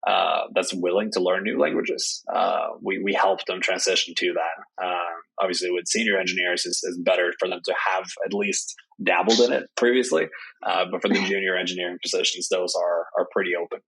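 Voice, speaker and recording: A young man from Norway speaks as a company founder in an interview. His voice sits low with a husky, slightly breathy edge. He fills his answers with frequent hesitant "uh"s. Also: a bit bright, low-quality recording. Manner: hesitant, many "uh" fillers. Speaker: startup CEO.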